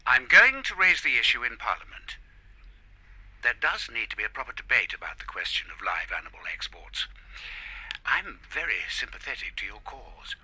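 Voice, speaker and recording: A pompous politician in a radio play. His voice is very bright and forceful with a husky edge, and it swings widely in pitch as he formally placates people. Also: big pitch swings, moderate pace, exaggerated voice, low-quality recording. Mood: pompous, placating. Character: pompous, placating male British member of parliament. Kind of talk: audio drama acting.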